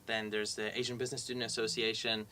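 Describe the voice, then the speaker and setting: A man's voice: fairly low pitch, flat delivery, fast talker, pushed and bright. A young man from Iran answering questions conversationally as an MBA student panelist, thinking aloud as he goes. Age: young adult.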